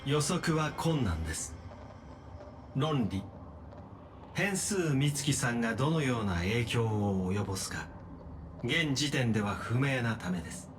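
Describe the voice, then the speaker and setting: An actor playing a courteous, logical machine genius with formal, precise speech in an anime-style game. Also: male voice, mid-range voice, animated, brisk, pauses often, noisy background, close to an everyday voice. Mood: calm, reassuring. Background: game music.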